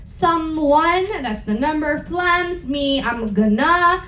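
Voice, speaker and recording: A woman performing a comedic dramatic reading on stage, with exaggerated emphasis, deliberate mispronunciations and joking asides. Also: sits high, lively intonation, pushed and bright. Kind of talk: comedic dramatic reading of bad fan fiction. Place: indoor event stage on a cruise. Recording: roomy live venue, faint background noise.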